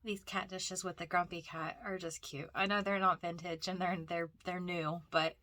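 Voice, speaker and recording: A woman's voice: on the low side, level tone, moderate pace, pushed and bright, dry recording. A woman with a cold and a stuffy, nasal voice, chatting casually as she narrates a walk through a thrift store. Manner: chatty, rambling, frequent fillers and restarts.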